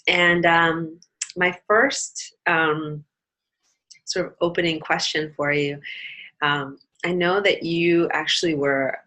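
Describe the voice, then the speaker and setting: A woman opens and steers an online summit interview. Her voice sits low but sounds bright and forward. She talks slowly and warmly enthusiastic, with many ums. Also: fairly even intonation. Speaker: summit host. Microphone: video call.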